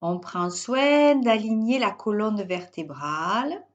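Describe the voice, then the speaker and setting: A woman guiding a yoga nidra relaxation as a teacher, in short, measured instructional phrases with pauses. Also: medium pitch, animated, highs cut off. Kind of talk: guided yoga nidra meditation.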